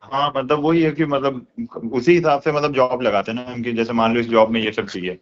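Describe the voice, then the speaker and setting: A man's voice: mid-range voice, steady tone, fairly quick. Muffled phone audio, young guy chatting casually, explains stuff loosely, loads of filler words. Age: young adult. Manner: colloquial, explanatory, with repeated filler words and echo words. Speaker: course student being interviewed. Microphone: phone call. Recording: dull lo-fi phone call recording.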